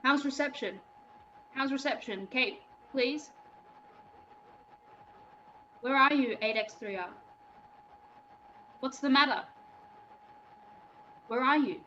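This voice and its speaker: A woman's voice: sits high, slow pace, pauses often, sharp tone, exaggerated voice. A woman playing an anxious radio operator sending out repeated calls for contact, in 1930s radio play style. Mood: worried. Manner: repetitive call-sign phrases. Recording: dull lo-fi sound, faint noise.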